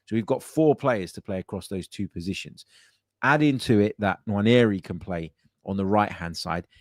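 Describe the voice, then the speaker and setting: Male podcast host with a low voice and a dry home-office sound, casual and chatty. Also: moderate pace, no echo. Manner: conversational, chatty, with frequent fillers and restarts. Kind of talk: casual call-in podcast chat.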